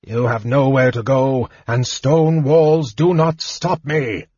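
A man voicing a huge, cruel dragon in a fantasy audiobook, mocking his prey in a booming, taunting delivery. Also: steady tone, slow pace, darkish tone, slightly rough, no room sound, highs cut off. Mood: gloating threat. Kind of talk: dramatized audiobook fantasy narration.

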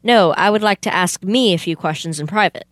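A woman's voice: fairly low pitch, a little fast, a bit bright, dry recording, studio quality, lightly acted. A young woman playing a polite, methodical male police detective in an amateur radio play. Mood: polite, puzzled. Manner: formal, questioning. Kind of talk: amateur old-time radio theater acting.

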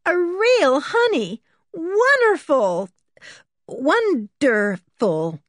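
A woman voicing a dreamy, awestruck young girl in a storybook, with breathy wonder and drawn-out exclamations. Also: a little high, animated, mellow, no echo, voice pushed up. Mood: gushing delight.

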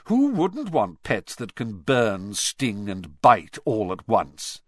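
A man's voice: fairly high pitch, wildly swooping pitch, takes it slowly, breathy edge, no room sound, clean studio sound, voice pushed up, full character voice. A man voicing an arrogant teenage boy who speaks in a cold, sarcastic drawl. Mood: sneering.

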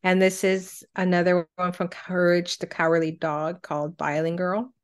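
Woman podcast co-host w a slightly low voice, just chatting thru a list. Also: little pitch movement, normal speed, clear, resonant tone. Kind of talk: casual chat, reacting to a countdown list.

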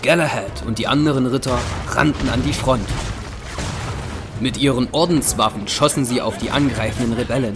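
A man's voice: mid-range voice, normal speed. A man delivering scripted dramatic narration for an audio drama. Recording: music underneath.

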